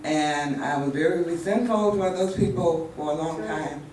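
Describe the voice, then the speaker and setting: A woman giving an unscripted memorial tribute in a halting way, with many pauses and restarts. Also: deep voice, darkish tone, slight background noise. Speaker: friend speaking at a memorial tribute. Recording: echoey hall from far off.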